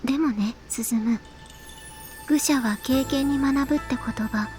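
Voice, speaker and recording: A young woman playing an earnest, gently cautioning teenage schoolgirl in a Japanese visual novel. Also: high voice, moderate pace, music in the background, lightly acted. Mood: explaining.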